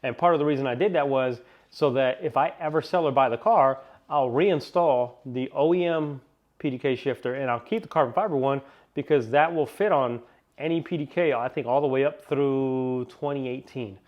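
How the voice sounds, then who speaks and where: A man chatting through a casual car-parts unboxing, excitable and rambling, still a little sniffly from a cold. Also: mid-range voice, normal speed, muffled recording. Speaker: car vlogger.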